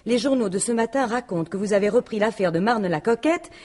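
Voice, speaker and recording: An actress playing a proper, easily flustered widow in a French radio mystery in 1950s style, speaking formally and emphatically. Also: female voice, medium pitch, animated, fast talker, darkish tone, close to an everyday voice. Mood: indignant. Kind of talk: French radio drama acting in 1950s manner.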